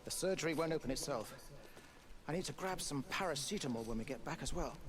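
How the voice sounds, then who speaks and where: An actor playing a measured village doctor with a British accent in a video game, dry and wordy. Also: male voice, a little high, expressive, a crowd in the background, lightly acted.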